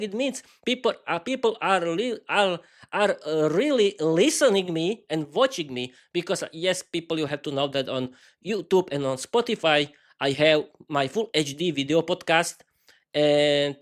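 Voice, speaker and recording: Slovak guy in his thirties rambling on his own podcast, super excited, stumbles and repeats a lot. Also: high-pitched. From East Slovakia. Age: 30s. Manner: enthusiastic, halting, repeats words and cheers. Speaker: podcast host. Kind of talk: improvised solo podcast monologue.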